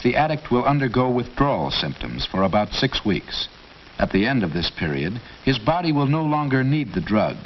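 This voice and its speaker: Male narrator, vintage training film, authoritative and formal, muffled audio with heavy hiss. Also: mid-range voice. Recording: dull old film soundtrack with loud hiss.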